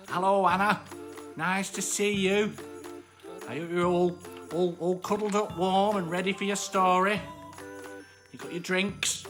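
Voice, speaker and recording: A woman voicing a cheerful, excitable male armadillo who hosts children's bedtime stories, effusive and playful, with a British accent. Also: fairly low pitch. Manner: effusive, repeats words, playful. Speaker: bedtime story host. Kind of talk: live children's storytime chat.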